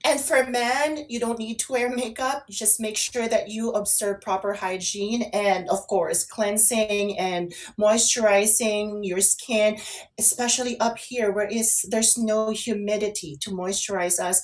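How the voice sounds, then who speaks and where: A woman's voice: mid-range voice, fairly even intonation, measured pace, rough recording. Woman chatting as an online show co-host. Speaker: co-host and makeup artist. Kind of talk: casual online talk show chat.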